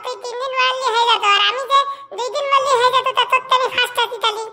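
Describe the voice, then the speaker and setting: Tiny cheeky cartoon pupil, whiny excuse-maker, very high, sharp voice, rural Bengali dialect. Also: steady tone, very fast, exaggerated voice. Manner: argumentative, cheeky. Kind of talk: comic cartoon dubbing.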